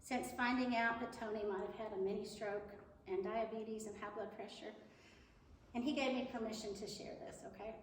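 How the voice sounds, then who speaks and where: A woman's voice: a little high, steady tone, fairly quick, slight background noise. Earnest woman with a big voice in an echoey hall. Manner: earnest, chatty, self-deprecating, nervous. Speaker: guest speaker at church. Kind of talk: Mother's Day church talk. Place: church sanctuary. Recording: echoey hall, mic from far off.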